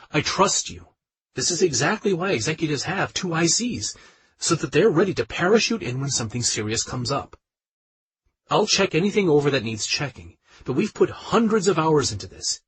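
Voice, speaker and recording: A man voicing a brisk, ambitious female executive colleague who talks in a pushy, demanding way. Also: low-pitched, a little hiss or hum, pitched above the performer's own voice, poor audio.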